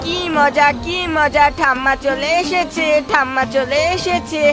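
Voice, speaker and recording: Woman doing a high whiny little boy in a cartoon, timid n excitable, music behind. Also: unhurried. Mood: excited. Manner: childlike, whiny, repeating phrases. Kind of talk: expressive children's cartoon acting.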